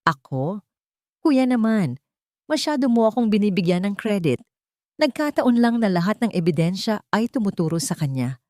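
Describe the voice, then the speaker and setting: A woman's voice: mid-range voice, big pitch swings, moderate pace, a little mellow, no echo, studio quality, pitched above the performer's own voice, natural voice. A woman voicing a smug, rich younger sister who taunts and provokes in a narrated drama. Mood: smug, mocking. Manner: mocking, provocative.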